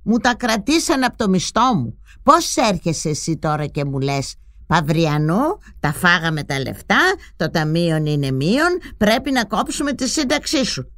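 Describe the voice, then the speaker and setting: An actress voicing an older pensioner mother, bossy and sharp-tongued, who grumbles, scolds and fusses over her grown son in a dramatized memoir. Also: lowish voice, sing-song, dry recording, clean studio sound. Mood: ranting. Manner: scolding, chatty, complaining, with sudden outbursts.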